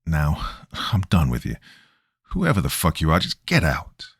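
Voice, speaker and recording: A man voicing a gruff, fed-up tough guy who talks bluntly and curses. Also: rumbling low, huge pitch swings, a little slow, no echo, studio quality, pitched below the performer's own voice, heavily acted. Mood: angry. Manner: blunt, hostile, cursing.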